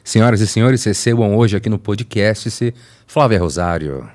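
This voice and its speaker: A man's voice: lowish voice, animated, normal speed, darkish tone. A man co-hosting a podcast who welcomes the guest with showman-like enthusiasm. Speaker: podcast co-host.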